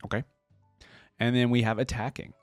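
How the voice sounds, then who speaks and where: A man's voice: mid-range voice, flat delivery, moderate pace, dry recording, soft background music. A man hosting a reaction video, giving casual, informal commentary as he thinks aloud.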